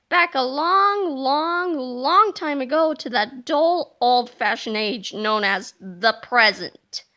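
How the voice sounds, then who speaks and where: Bright, playful woman reading a children's book. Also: sits high. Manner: animated, playful narration. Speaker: storybook reader. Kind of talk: children's book read-aloud.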